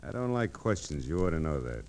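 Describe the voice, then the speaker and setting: An actor playing a big, surly middle-aged racket boss in a 1940s radio drama, curt and guarded with menace. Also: male voice, very deep voice, very animated, fairly quick, darkish tone. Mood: irritated, guarded. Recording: noisy old 1940s radio recording.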